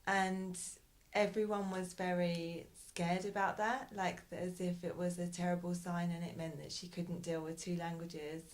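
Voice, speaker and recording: A woman with a fairly low voice answers interview questions in a relaxed, conversational way. Her pitch barely moves, and she talks thoughtfully, pausing and restarting as she gathers her words. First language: English. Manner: thoughtful, hesitant, with frequent pauses and restarts. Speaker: interviewee, bilingual parent.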